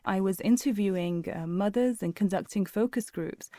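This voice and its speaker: Mellow-voiced woman reflecting in an interview. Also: mid-range voice, moderate pace, no room sound, studio quality. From Syria. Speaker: interviewed psychologist.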